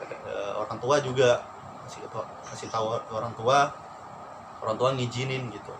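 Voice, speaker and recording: A young man from Probolinggo with a Madurese-tinged Indonesian accent, telling stories candidly and in a relaxed way in a casual chat. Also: medium pitch, moderate pace. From Probolinggo, East Java. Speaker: radio announcer and aspiring actor. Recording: noisy background.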